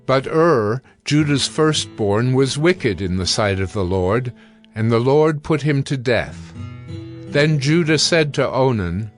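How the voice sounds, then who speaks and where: A man narrates scripture in a scripted audio drama style. His voice sits at a medium male pitch, with soft music underneath. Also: animated, slow.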